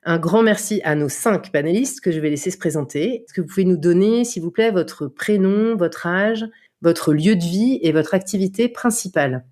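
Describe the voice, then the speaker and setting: A woman's voice: fairly low pitch, moderate pace, darkish tone. A woman hosting a podcast, welcoming listeners and introducing the panel in a friendly manner. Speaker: podcast host.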